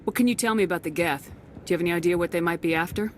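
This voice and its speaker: An actress playing a resolute, businesslike military commander in a sci-fi video game, brisk and direct. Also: female voice, on the low side, a little hiss or hum, close to an everyday voice.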